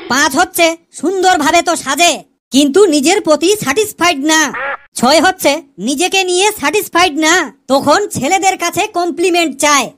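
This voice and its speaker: A woman's voice: extremely high pitch, normal speed, a bit bright. A woman voicing a cheeky male pupil in a comedy cartoon, explaining things to the teacher with mock earnestness. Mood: mock-earnest. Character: cheeky male pupil Kalu, joking about wives and women.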